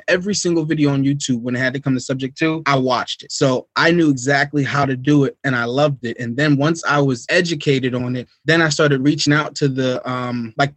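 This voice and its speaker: A man's voice: medium pitch, a bit bright, no room sound. An American man from New Jersey telling his story as a podcast guest in a casual, slangy way. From United States, New Jersey. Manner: informal, slangy, repetitive check-in phrases. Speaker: podcast guest, real estate investor.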